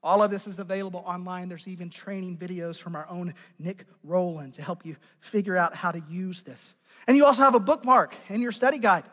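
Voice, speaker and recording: Raspy, high-pitched American male preacher. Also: fairly even intonation, brisk, a bit bright. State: strained voice after losing it. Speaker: pastor preaching to a congregation. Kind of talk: sermon, conversational teaching. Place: large church sanctuary. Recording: long hall reverb from sound system.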